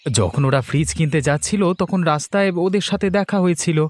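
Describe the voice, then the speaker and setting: A man's voice: a little high, fast talker, no room sound, natural voice. A man voicing a good-natured, affectionate village husband in a folk-tale cartoon. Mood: cheerful, affectionate. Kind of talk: Bengali cartoon dialogue dubbing.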